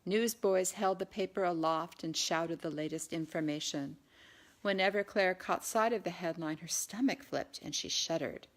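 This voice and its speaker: A woman's voice: lowish voice, normal speed. A woman reading her own novel aloud in an audiobook narration style.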